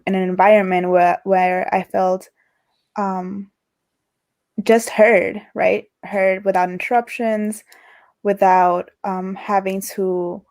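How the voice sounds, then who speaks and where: A woman's voice: mid-range voice, darkish tone. A young woman who stutters, repeating and breaking off words as she talks about her own experience in a casual interview. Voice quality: stutters, with repetitions and broken-off words. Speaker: interview guest. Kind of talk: casual conversation over a livestream.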